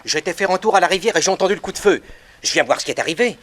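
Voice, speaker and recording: An actor playing an eager, deferential chauffeur in a 1960s radio mystery serial. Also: male voice, high voice, lively intonation, racing, fairly bright tone. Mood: alarmed.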